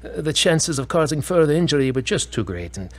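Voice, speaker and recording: A man's voice: a little high, very animated, a little fast. A man playing an apologetic hospital doctor in an audio drama, explaining formally and hesitantly. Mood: grave, apologetic.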